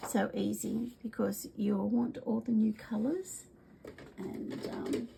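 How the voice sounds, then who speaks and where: Middle-aged Australian woman, stuffy and very gravelly, casual rambling craft demo, a bit of faint hiss behind. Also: mid-range voice, flat delivery, takes it slowly. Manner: chatty, rambling, many ums, often soft and whispery. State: congested, voice worn from a cold. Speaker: craft product demonstrator. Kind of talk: casual craft video chat.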